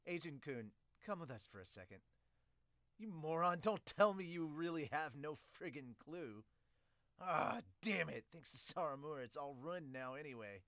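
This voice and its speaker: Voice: medium pitch, animated, normal speed, fairly bright tone, dry recording, studio quality. A podcaster voicing a scornful young male ballplayer who mutters insults in exasperation. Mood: irritated.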